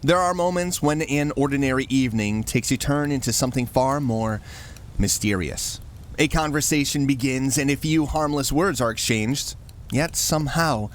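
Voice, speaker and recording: A man hosting with a scripted, campfire-style introduction to a horror story, told in a measured, mysterious way. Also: medium pitch, a little hiss or hum. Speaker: host.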